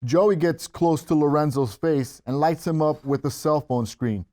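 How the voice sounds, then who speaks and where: A man's voice: on the high side, unhurried, mellow. A man narrating screenplay stage directions at a table read.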